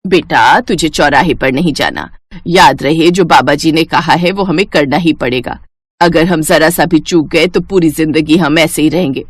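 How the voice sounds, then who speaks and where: A woman's voice: low voice, normal speed, husky, dry recording, pitched below the performer's own voice. A woman voicing an older, strict, scolding mother-in-law for a cartoon, with a stern, chiding manner. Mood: scheming. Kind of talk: cartoon dubbing.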